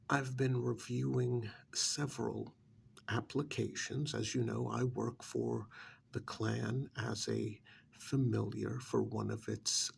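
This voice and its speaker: A man acts out a male familiar serving a vampire clan, a discreet, bureaucratic recruiter in an ASMR role-play. His voice is hushed and very breathy, with heavy creak at an ordinary male pitch. He speaks extremely slowly, pausing and hedging tactfully as he goes. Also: exaggerated voice. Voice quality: breathy, gravelly. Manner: hesitant, measured, euphemistic, with long pauses. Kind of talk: ASMR role-play, whispery soft speech.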